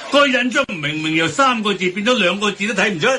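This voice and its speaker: A man's voice: sits high, expressive, fast talker, sharp tone, full character voice. A man with a Hong Kong accent playing an indignant, complaining businessman in a radio drama. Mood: scornful. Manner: exclamatory, complaining. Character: indignant, grumbling businessman railing at official waste. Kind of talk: Cantonese radio drama banter.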